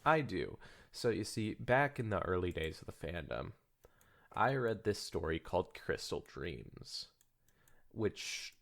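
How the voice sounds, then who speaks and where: A man hosting a casual comedy podcast, joking and rambling with some swearing. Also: deep voice. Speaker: podcast host.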